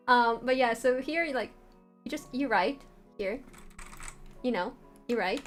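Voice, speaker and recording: A woman's voice: fairly high pitch, takes it slowly. Woman giving a casual, meandering livestream tutorial over music. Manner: informal, meandering explanation. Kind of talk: casual livestream walkthrough of a writing tool.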